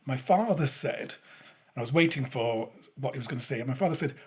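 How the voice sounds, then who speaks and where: Husky older man. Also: medium pitch, expressive. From Yorkshire, England. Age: 60s. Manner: chatty, halting, self-correcting. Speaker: podcast guest. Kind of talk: candid conversational interview, anecdotes.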